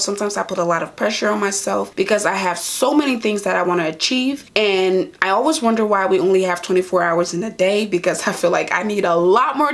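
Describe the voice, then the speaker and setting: A young woman from Liberia, a nurse and vlogger, answering viewer questions in an earnest, casual chat to camera in a small room. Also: mid-range voice. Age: young adult. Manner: earnest, talks straight to viewers.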